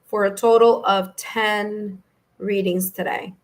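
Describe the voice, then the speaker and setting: A woman with a New York accent and a slightly hoarse, raspy voice, hosting a live tarot stream in a friendly, chatty way. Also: mid-range voice, little pitch movement, slow pace. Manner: friendly, chatty, laughs easily. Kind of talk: casual live-stream chat, greeting viewers.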